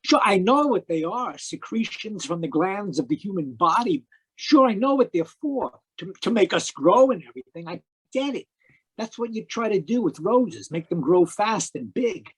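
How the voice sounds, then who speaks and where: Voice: medium pitch, big pitch swings, moderate pace, breathy edge, low-quality recording. An actor playing a confused, deferential boy who helps in the garden, hesitant and questioning, in old-time radio drama style. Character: tired, confused, deferential young male garden helper. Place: live video call performance. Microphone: video call microphone.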